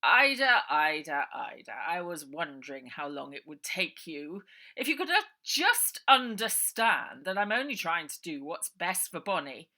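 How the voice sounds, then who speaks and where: A woman's voice: fairly low pitch, pushed and bright, heavily acted. A woman voicing a sinister middle-aged uncle in a storybook, pompous, condescending and menacingly polite. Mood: smooth menace.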